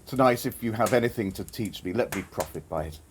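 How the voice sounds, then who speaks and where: Male actor as an old miser, pleading and broken, lively pitch swings. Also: fast, a little hiss or hum, natural voice. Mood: earnest. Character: bitter old male miser, shaken and remorseful.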